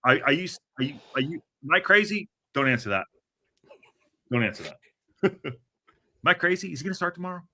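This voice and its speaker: A man hosting a football podcast, talking in an animated, rambling way with a lot of restarts. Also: on the high side, brisk, long pauses. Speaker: podcast host. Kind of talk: casual chat.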